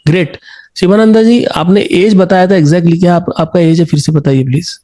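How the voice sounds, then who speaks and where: A man's voice: high voice, steady tone, normal speed, mellow. A man with an Indian accent speaking as an encouraging singing coach in a conversational interview, mixing in English phrases.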